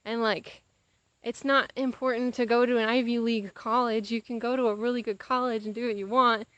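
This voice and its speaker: Young woman, fairly high-pitched, casual confessional chat, animated retelling, dry close sound. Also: fairly quick, no echo, highs cut off. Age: young adult. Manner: animated, acts out quoted conversations. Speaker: vlogger.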